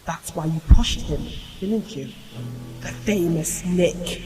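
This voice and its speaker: An actor playing a cruel, mocking voice of conscience in a horror audio drama, menacing and sometimes whispering. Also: male voice, on the high side, takes it slowly. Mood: menacing accusation. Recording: loud music under the voice.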